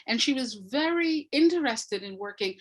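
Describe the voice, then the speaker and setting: A woman's voice: on the high side, animated, moderate pace. A woman speaking as an interview guest, explaining in an emphatic way and repeating phrases for effect. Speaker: interview guest, audio drama maker. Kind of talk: animated explanatory interview talk.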